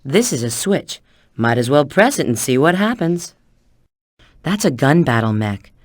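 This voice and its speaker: A cool, flirty female bat treasure hunter from a video game. She gives knowing instructions in a very lively, swooping delivery. The sound is close and dry. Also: a bass voice, a little slow, no room sound, heavily acted. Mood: cool, instructive. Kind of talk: video game character voice acting.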